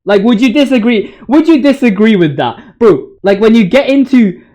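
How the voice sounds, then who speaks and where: Young male gaming commentator, high voice, excitable and slangy, loose rambling delivery. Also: moderate pace. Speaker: video host. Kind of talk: casual video game commentary.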